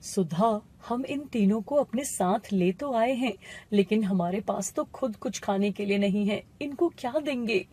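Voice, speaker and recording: A woman voicing a humble village farmer for a cartoon moral tale. The voice is dark and husky, earnest and plaintive, weighed down with worry. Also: medium pitch, unhurried, few pauses. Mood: worried. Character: worried, humble poor male farmer. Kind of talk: animated moral story character voice.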